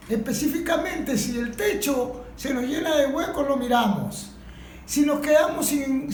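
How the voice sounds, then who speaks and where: Venezuelan female, high voice, emphatic and halting, impromptu discussion remarks, echoey room. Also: darkish tone. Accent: Venezuelan Spanish. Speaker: industrial worker. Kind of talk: impromptu contribution to a group discussion. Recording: echoey room with faint background noise.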